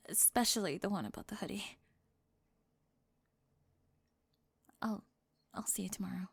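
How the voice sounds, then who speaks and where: A woman voicing a flustered teenage cheerleader bully with a secret crush, stammering and slipping into breathy half-whispers in an ASMR roleplay. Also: medium pitch, darkish tone, no echo. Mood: tender, shy.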